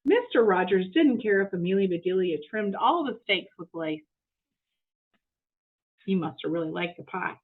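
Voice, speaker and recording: Animated woman reading to children, phone-like sound. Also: fairly low pitch. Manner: expressive, explanatory. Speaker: children's story reader. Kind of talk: animated picture-book read-aloud with questions to children. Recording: muffled narrow phone-like audio.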